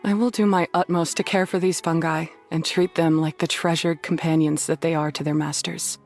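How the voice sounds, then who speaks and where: A woman's voice: low-pitched, level tone, normal speed, vocal fry, music in the background. A woman playing a serious, proud but humbled young female beast tamer in a video game, speaking earnestly and formally. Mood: sincere.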